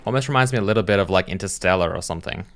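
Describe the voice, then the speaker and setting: Man, lowish voice, casual reaction host, hesitant, rambling, unscripted commentary. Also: very fast, a bit bright, faint music behind. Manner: hesitant, rambling, thinking aloud. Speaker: host reacting to game trailers as a game developer.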